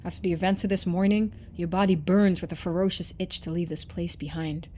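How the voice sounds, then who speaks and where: A woman's voice: lowish voice, fairly even intonation, a little fast, mellow, a little hiss or hum. A woman game streamer reading a visual novel aloud, switching into playful, chatty live commentary.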